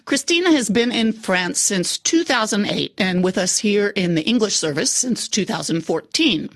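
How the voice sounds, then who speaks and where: A woman's voice: medium pitch, expressive, normal speed, dry recording, clean studio sound. A woman hosting a radio podcast, moving between scripted narration and casual chat with playful asides.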